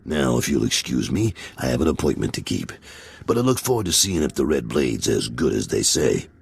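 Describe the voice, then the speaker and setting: A world-weary gangster boss for a video game. The voice is extremely deep and very raspy, with lively swings of pitch and a gruff, frank, businesslike manner. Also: male voice, fairly quick, no room sound, full character voice.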